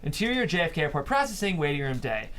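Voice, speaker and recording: A man narrating stage directions in an animated way at a script table read. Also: a little high, quick pace. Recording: room recording with faint noise.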